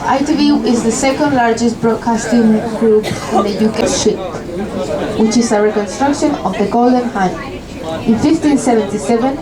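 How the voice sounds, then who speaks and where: A woman's voice: a little high, low-quality recording. A young woman from Spain with a Spanish accent, giving live commentary as a tour guide on a river cruise boat, friendly and lightly joking.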